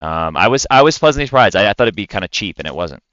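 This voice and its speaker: A man's voice: lowish voice, big pitch swings, racing, a bit bright, dry recording. A man co-hosting a podcast in casual chat, recorded close with a slightly dull sound. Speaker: podcast co-host.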